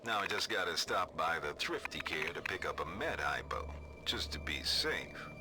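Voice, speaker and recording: Deep, sleazy man-about-town. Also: big pitch swings, normal speed, heavy background noise. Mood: smug, anticipating. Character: sleazy man-about-town recording his audio diary. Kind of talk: game audio diary acting. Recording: music playing over the voice.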